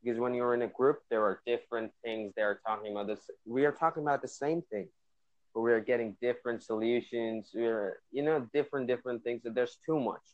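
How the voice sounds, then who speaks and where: A man from India, speaking with an Indian accent while working on an American one, in measured, agreeable casual chat. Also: mid-range voice, dry recording, lo-fi sound. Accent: Indian, practicing American.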